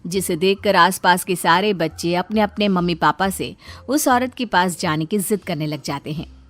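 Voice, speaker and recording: Woman storyteller, lowish voice, scripted children's tale narration, light music behind. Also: moderate pace, a bit bright.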